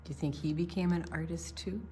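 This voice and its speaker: An older woman reads a picture book aloud for young children, expressively and with pauses for her listeners. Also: fairly low pitch, fairly even intonation, warm tone, a little hiss or hum. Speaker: children's library storyteller.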